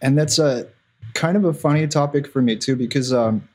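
A man whose first language is Russian talks casually on a podcast, rambling and self-deprecating, leaning on fillers. His voice sits mid-range with a husky, slightly rough edge. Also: medium pitch, normal speed, a little mellow. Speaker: podcast guest, visual artist.

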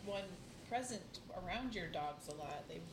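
A guest on a podcast, chiming in excitably during a casual chat. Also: mid-range voice, moderate pace, reverberant, far from the mic. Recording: loud background noise.

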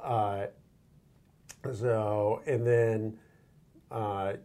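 A man's voice: fairly low pitch, little pitch movement, extremely slow, warm tone. A man hosting an interview, asking curious questions and thinking aloud with fillers. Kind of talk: conversational interview.

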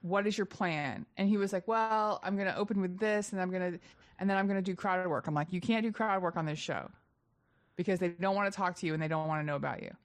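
A woman's voice: lowish voice, quick pace, no echo. Gossipy woman comic telling stories. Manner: gossipy, animated storyteller. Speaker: stand-up comedian guest. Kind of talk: casual podcast chat, storytelling.

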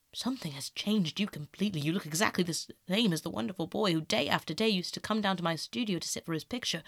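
A woman voicing an earnest, reproachful older male painter in an audiobook, in a slow, strained, hushed manner. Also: lowish voice, husky, dry recording, clean studio sound. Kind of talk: audiobook character acting.

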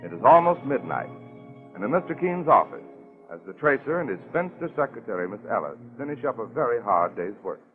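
A man's voice: medium pitch, very animated, fairly quick. A man announcing a 1940s radio program in a scripted, formal and theatrical style. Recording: dull 1940s radio recording. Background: music.

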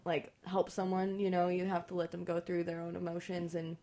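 A woman's voice: lowish voice, monotone, fairly quick, dark tone. A young adult woman guest on a radio show, talking candidly and sarcastically, rambling with lots of fillers. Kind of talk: casual chat.

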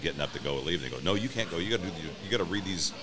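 A man's voice: low voice, lively intonation, racing, over music. A middle-aged man, a stadium announcer being interviewed, telling stories in a casual, easygoing, rambling and wry way. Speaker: stadium public address announcer, interview guest. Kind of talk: casual conversational storytelling.

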